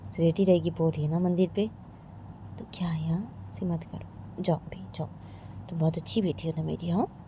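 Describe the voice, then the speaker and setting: A woman voicing a patient mother who gently pleads with her daughter. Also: on the low side, fairly even intonation, moderate pace, slight background noise, close to an everyday voice. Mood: coaxing. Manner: soothing, pleading, half-whispered at times. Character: coaxing gentle mother, patient and soft-spoken.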